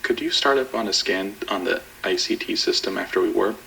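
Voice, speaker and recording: A man playing a calm pilot commander in a space audio drama, procedural and matter-of-fact. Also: low voice, brisk, vocal fry, a little hiss or hum, exaggerated voice, poor audio. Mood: businesslike.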